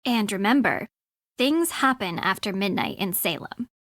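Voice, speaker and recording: A woman's voice: medium pitch, lively intonation, unhurried, fairly bright tone, no echo, studio quality. An American woman acting as a blunt, sardonic young frenemy in a video game, snarky and emphatic. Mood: grudgingly caring.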